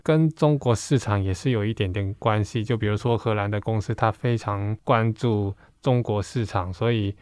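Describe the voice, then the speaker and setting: Low-voiced man, halting interviewee. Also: a little mellow, clean tone, dry recording. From Hong Kong. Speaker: podcast guest, comic artist. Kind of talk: reflective interview talk.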